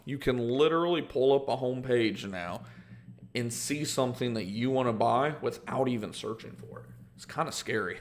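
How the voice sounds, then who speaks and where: Gravelly young man, casual livestream talk. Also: medium pitch. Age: 20s. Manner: rambling, reads out comments, many fillers and restarts. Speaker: host answering viewer questions. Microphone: livestream microphone.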